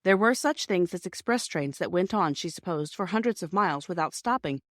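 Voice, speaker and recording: A woman's voice: lowish voice, expressive, brisk, no room sound, clean studio sound. A woman narrating a children's story from a script as an audiobook reader.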